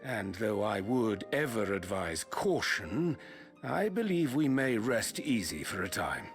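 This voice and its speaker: An actor playing a cautious male sage in a fantasy game, speaking solemnly and deliberately in archaic, formal phrasing. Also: fairly low pitch, big pitch swings. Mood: grave, reassuring. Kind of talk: scripted fantasy game dialogue. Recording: music clearly behind the voice. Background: game music.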